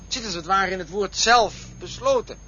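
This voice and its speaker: An actor playing an eager, insistent traveller who craves company, in an absurdist radio play. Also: male voice, high-pitched, brisk, pushed and bright. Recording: muffled, faintly noisy radio drama recording.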